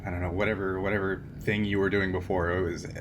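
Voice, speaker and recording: A man voicing a calm, persuasive male agent in a tabletop game. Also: low voice, quick pace, background noise, pitched below the performer's own voice, natural voice. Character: mysterious male agent Wolfgang, smooth persuader.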